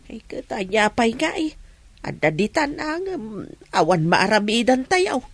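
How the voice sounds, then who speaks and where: A woman's voice: fairly high pitch, big pitch swings, measured pace, no echo, full character voice. An actress playing a sympathetic, level-headed mother in a radio drama. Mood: concerned. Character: sympathetic, level-headed mother who chides her husband.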